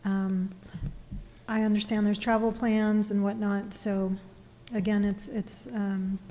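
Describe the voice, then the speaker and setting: A woman's voice: medium pitch, flat delivery, slow, warm tone. A woman on city staff, briefing a public meeting, hesitant with many fillers and restarts, her voice worn. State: losing her voice. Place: council meeting room. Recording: distant reverberant hall, faint noise.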